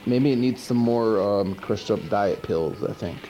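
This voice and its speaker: A man's voice: on the low side, unhurried, dull audio, lightly acted. A man playing a goofy, chatty, sardonic monster who hosts a horror movie show, recapping films in casual, rambling slang on a noisy 1980s VHS recording. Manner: casual, rambling, mock-indignant slangy delivery. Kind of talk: comic movie recap monologue.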